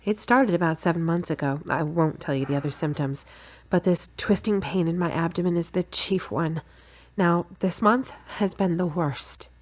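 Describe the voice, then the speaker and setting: Female lead, audio drama: frank, stubborn girlfriend with a lowish voice, brisk and a little bossy acted by a woman. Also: natural voice. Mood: frank, weary.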